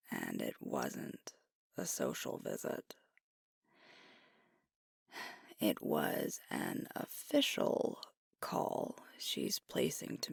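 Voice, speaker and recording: A woman playing a troubled, affectionate alpha werewolf girlfriend in an ASMR roleplay, speaking softly and breathily in a halting, confiding way. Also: very deep voice, no echo, studio quality, heavily acted. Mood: troubled, irritated. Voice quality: breathy, soft, often whispered. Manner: halting, confiding, weary and vexed. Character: frustrated, affectionate female alpha werewolf girlfriend, a stressed leader.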